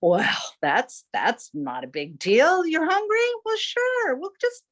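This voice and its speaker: A woman's voice: high-pitched, huge pitch swings, slow, pitched above the performer's own voice, heavily acted. A woman voicing a cheerful male chicken hawk in a folktale, bubbly and coaxing. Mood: cheery coaxing. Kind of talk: folktale telling.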